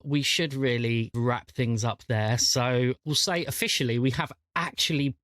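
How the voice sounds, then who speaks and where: A man chatting casually as a podcast host and game master, often repeating words as he thinks out loud. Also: medium pitch, a little slow, no echo, clean studio sound.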